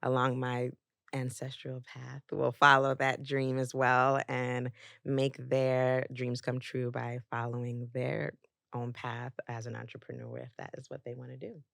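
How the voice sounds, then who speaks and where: A woman's voice: very deep voice, unhurried, gravelly, no room sound, clean studio sound. A young adult woman as a podcast guest, talking casually with lots of fillers and easy laughter. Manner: warm storytelling with frequent fillers and laughs. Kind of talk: casual interview chat.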